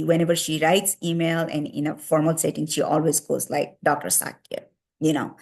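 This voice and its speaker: A woman in her thirties speaking English with a Nepali accent, her voice sitting a little low. She gives casual, friendly tips straight to the viewer, filling her sentences with "you know" and "like.". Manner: heavy use of fillers "you know" and "like". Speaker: vlogger giving advice. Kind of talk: casual advice talk.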